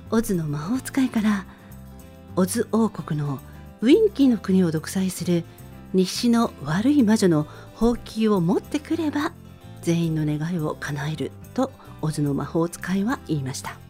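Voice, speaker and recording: Expressive woman storyteller, mellow voice over music. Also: medium pitch. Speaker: podcast host and narrator. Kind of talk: scripted story synopsis read in radio-drama style.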